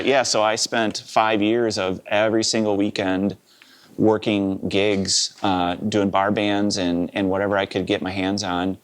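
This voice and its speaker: A man in his fifties with a Wisconsin accent, a live sound engineer telling his story in a relaxed, rambling interview. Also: lowish voice. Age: 50s. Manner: relaxed, rambling, with many "uh" and "you know".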